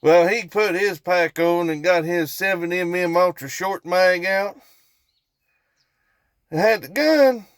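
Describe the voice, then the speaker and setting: Appalachian guy, kinda higher voice, folksy rambling storytime vlog, pretty dry sound. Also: slow, clean tone, no room sound. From West Virginia, United States. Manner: folksy, rambling, repeats for emphasis. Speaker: storytelling vlogger. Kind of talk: casual storytelling to viewers.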